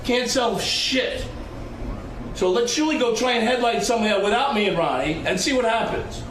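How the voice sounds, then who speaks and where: A man's voice: extremely high pitch, normal speed, a bit bright, far from the mic. An angry man arguing bluntly and repetitively with heavy swearing, recorded backstage. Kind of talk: heated backstage argument. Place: backstage at a live show. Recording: noisy, echoey backstage recording, off-mic.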